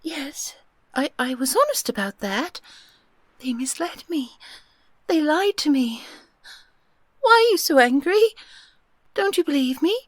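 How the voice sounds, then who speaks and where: A woman's voice: sits high, big pitch swings, unhurried, long pauses, no room sound. A woman voicing a small, naive fairy girl in an audio roleplay, flustered, stammering and pleading. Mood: pleading, tearful.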